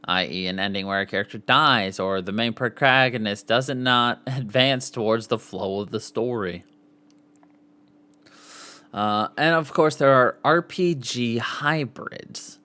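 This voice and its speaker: A man with a mid-pitched voice reads written material aloud as a podcast host. His delivery is informal, with the odd off-the-cuff aside and self-correction as he works through the text. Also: bright, forceful tone. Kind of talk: reading an article aloud with casual asides.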